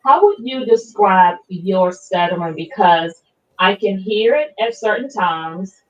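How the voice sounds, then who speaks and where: A woman's voice: mid-range voice, expressive, measured pace. A woman hosting a casual discussion, asking questions with some hesitation and offering short affirmations. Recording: dull, muffled lo-fi audio.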